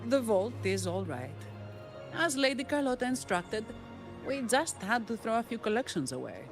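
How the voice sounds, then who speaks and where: Dutiful woman, animated intonation. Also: fairly high pitch, normal speed. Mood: calm, reassuring. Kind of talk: video game voice acting. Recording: music behind the voice.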